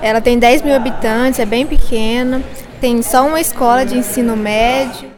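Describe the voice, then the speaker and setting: Teen girl interviewee, casual and relaxed, higher voice. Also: moderate pace. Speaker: student interviewee.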